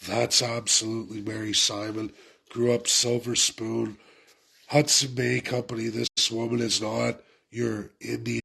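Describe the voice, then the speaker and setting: A man's voice: mid-range voice, little pitch movement, measured pace, creaky, bright, forceful tone, husky. A man with a head cold, sounding congested, giving an urgent, repetitive political rant to his viewers. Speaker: political commentator. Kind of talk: impassioned political rant to camera.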